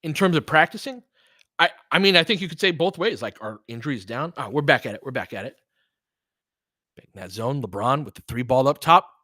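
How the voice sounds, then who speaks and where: A middle-aged man with a bright, forward voice in a dry, close recording. He hosts casual live basketball commentary, mixing play-by-play with opinionated chat and reacting out loud as he goes. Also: medium pitch, animated, brisk, dry recording. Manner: conversational, opinionated, reacts aloud to the action. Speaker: online basketball play-by-play host.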